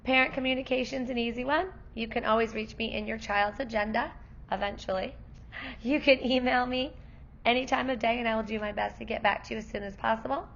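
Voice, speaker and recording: Woman, kindergarten teacher, hoarse from losing her voice, friendly parent-night explainer, gravelly tone, dull lo-fi recording. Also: a little high, fairly even intonation, brisk, a bit bright. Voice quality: gravelly, worn voice.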